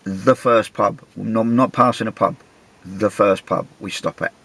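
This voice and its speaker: English man, middle-aged, husky, low-pitched, casual self-mocking storyteller. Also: steady tone, measured pace, fairly bright tone. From England. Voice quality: gravelly, husky. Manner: chatty, wry, self-mocking. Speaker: vlogger telling a travel story.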